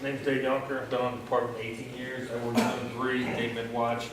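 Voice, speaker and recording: A man's voice: medium pitch, completely flat delivery, brisk. Man, police officer, matter-of-fact, far from the mic, big echoey room, background noise. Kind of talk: community meeting remarks.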